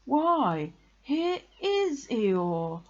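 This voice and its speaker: A woman's voice: high-pitched, big pitch swings, very slow, lo-fi sound, voice pushed up, full character voice. A woman voicing a friendly, eager, slightly simple male toy bear from a children's storybook. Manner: cheerful, eager, gently puzzled. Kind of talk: children's storybook character reading.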